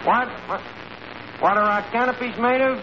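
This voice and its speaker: An actor playing a brash, scheming tavern manager in a 1940s radio comedy, with a New York accent and a bluffing, word-mangling way of talking. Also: male voice, very high voice, moderate pace. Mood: bluffing, flustered. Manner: bluffing, mangles grammar and fancy words. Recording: noisy muffled old radio broadcast, audience behind.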